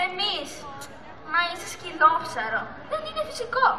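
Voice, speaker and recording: A woman's voice: very high-pitched, huge pitch swings, a crowd in the background, exaggerated voice. A teenage girl playing a small, lonely, anxious female sea turtle in an amateur school puppet play, with theatrical pleading. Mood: suspicious. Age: young teenager. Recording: echoey hall recording with audience noise.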